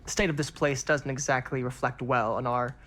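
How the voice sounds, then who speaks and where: An actor playing a gushing, wealthy, chatty film superfan in a video game cinematic, with a fawning, theatrical manner. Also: male voice, mid-range voice, steady tone, fairly quick, sharp tone, natural voice. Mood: wry, casual.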